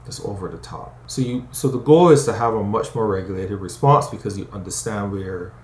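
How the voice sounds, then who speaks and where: Low-voiced man teaching in an echoey room. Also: a little fast. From Barbados. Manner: conversational, keeps asking "right?". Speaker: conflict coach and psychologist. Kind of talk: educational talk to camera. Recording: roomy echo.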